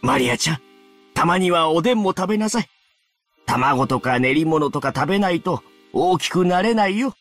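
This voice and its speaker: Fast-talking kindly middle-aged shopkeeper. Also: male voice, on the high side, animated, fairly bright tone. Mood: kindly, coaxing. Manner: avuncular, coaxing. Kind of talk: Japanese visual novel voice acting. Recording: music clearly behind the voice.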